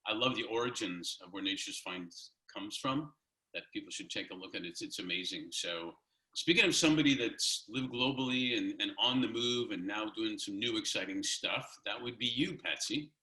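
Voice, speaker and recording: Bright-voiced man in echoey room. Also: on the low side, moderate pace. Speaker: host, panel moderator. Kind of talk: casual chat. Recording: roomy, echoing online call audio.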